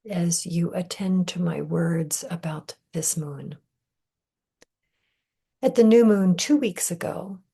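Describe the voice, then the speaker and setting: A woman leading a guided meditation in a gentle, measured way, breathy and husky, often trailing off into a whisper with deliberate pauses. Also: lowish voice. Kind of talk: guided meditation, soothing scripted narration.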